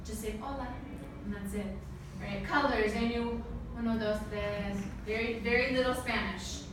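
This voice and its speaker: Woman teacher talking to a class from kinda far off, big echo, noisy room, slightly high voice. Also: fairly even intonation, moderate pace. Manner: asks the audience questions and checks with "right?". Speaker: teacher giving a talk to language students. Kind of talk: interactive classroom talk. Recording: echoey room, distant mic, noise.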